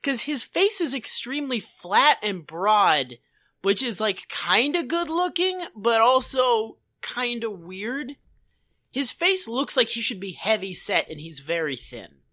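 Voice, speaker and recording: A woman with a high, bright voice chats casually as a podcast co-host. Her pitch swings widely as she riffs in an irreverent, exclamatory, sweary style. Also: big pitch swings, a little slow. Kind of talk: casual comedic chat about an old TV show.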